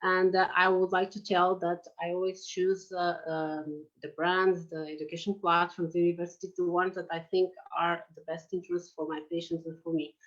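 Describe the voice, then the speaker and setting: A woman's voice: fairly low pitch, flat delivery, normal speed, few pauses, fairly bright tone, highs cut off. A woman from Portugal in her forties with a Portuguese accent, giving a lecture on oral surgery with hesitant phrasing and frequent fillers. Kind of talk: online lecture presentation.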